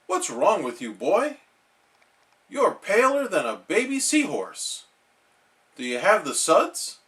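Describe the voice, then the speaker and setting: A man voicing a gruff, bossy cartoon crab boss with a blunt, commanding manner. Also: high voice, huge pitch swings, slow, fairly bright tone, recorded from a distance, voice pushed up, full character voice. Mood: demanding.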